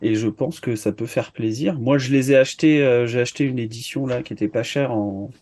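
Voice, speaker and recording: A man's voice: medium pitch, brisk, darkish tone. A man giving his views in casual chat as a show commentator. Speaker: commentator on a web talk show.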